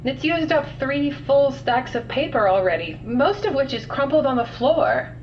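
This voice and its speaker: A woman's voice: sits high, expressive, moderate pace. Woman acting wry scientist on muffled log. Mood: wry, bemused. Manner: dry, wry, matter-of-fact. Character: weary, sardonic female scientist keeping containment logs. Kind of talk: audio drama log narration. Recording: muffled, distant, noisy log with hum.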